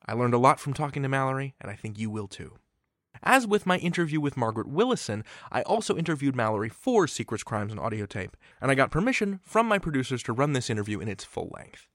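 A man's voice: mid-range voice, sing-song, fast talker, fairly bright tone, dry recording, clean studio sound. An American man hosting a podcast in a chatty, folksy, emphatic way as he introduces and interviews.

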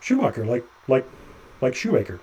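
An actor playing a nervous, chatty male doctor climbing along a ship's hull, halting with stammering restarts. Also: mid-range voice, huge pitch swings, darkish tone, slight background noise, low-quality recording. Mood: anxious. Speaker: physician on a spacewalk. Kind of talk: audio drama acting.

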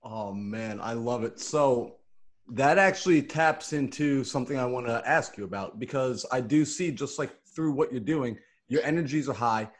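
A young man in his late twenties hosting a relaxed interview. He sounds laid-back, stringing together long, chatty questions with plenty of casual fillers. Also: mid-range voice, moderate pace. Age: 20s. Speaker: interview host.

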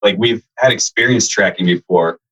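A man from Virginia, a band's bassist, talking casually as a podcast guest from a home room, relaxed and rambling with lots of "like". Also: normal speed, slightly rough, rough recording. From Virginia, USA. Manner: relaxed, rambling, says "like" often. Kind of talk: casual interview chat. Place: home room where the band records.

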